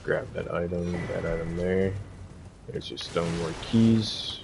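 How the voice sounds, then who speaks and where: Casting: man, deep creaky voice, relaxed and informal game guide commentary, faint noise behind. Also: slow pace. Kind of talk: casual gameplay walkthrough commentary.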